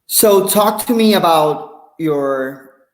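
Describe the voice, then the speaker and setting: A man's voice: fairly high pitch, expressive, very slow. Echoey male host with Puerto Rican accent. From Puerto Rico. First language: Spanish. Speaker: interview host. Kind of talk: casual interview. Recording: echoey, roomy sound.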